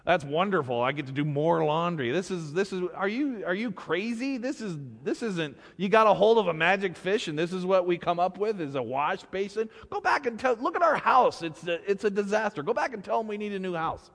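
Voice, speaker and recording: Man putting on a nagging, high-pitched fisherman's wife. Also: moderate pace, few pauses, in a large hall. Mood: exasperated. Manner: scolding, sarcastic, demanding. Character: nagging, exasperated, demanding fisherman's wife, adult woman.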